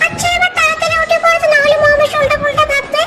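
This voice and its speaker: Doll soap wife: devoted, chatty, singsong, squeakily high voice. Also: female voice, steady tone, rapid-fire. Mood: soothing. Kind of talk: amateur doll-drama acting. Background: loud music.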